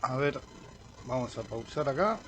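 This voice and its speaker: A man with an Argentine accent walks through a piece of software in an unscripted way. His voice sits at a medium male pitch, and he thinks aloud as he tries things out. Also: expressive, a little slow, a little hiss or hum. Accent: Argentine Spanish. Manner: informal, thinking aloud while clicking through. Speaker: amateur radio operator demonstrating software. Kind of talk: casual screen-recorded software tutorial.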